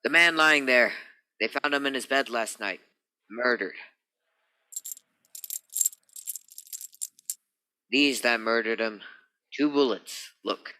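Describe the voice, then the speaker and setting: An actor playing a nervous, musing medical examiner in a radio play, speaking in clipped, theatrical phrases. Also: male voice, medium pitch, unhurried, sharp tone, dry recording, poor audio. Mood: musing, grim.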